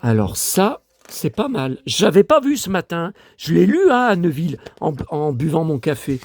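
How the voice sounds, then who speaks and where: An older man from France with a hoarse voice, grumbling and talking to himself as he shows his latest finds to viewers in a casual monologue. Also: fairly high pitch, sing-song, normal speed, darkish tone, dry recording. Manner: grumbling, exasperated, talks to himself. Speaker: vlogger showing flea-market finds.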